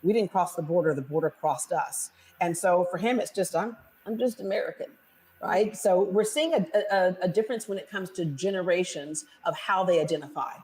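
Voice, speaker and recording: Brief: middle-aged female TV host, slightly low voice, chatty panel moderator. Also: a little fast, darkish tone, low-quality recording. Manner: conversational, laughs easily, reads statistics. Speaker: TV talk show host. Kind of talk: hosted panel discussion.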